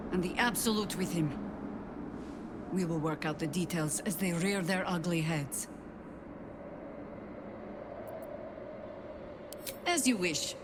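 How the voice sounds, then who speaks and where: An actress playing a resolute, composed battle ally in a video game, speaking in a wry, formal, measured way. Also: female voice, fairly low pitch, lightly acted. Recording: loud noise behind the voice.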